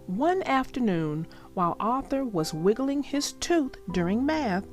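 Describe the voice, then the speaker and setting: Animated, unhurried woman reading to children. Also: fairly high pitch, soft background music. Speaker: channel host and children's story narrator. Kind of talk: read-aloud storybook narration for young children.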